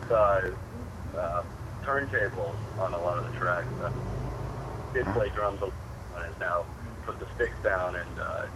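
A man's voice: low-pitched, measured pace, pauses often, background noise. A man, a musician guest, talking casually in an interview with many fillers and restarts.

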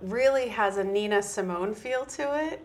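A woman hosts a podcast and interviews a guest. She asks questions in an admiring tone. Her voice is mid-pitched. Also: moderate pace.

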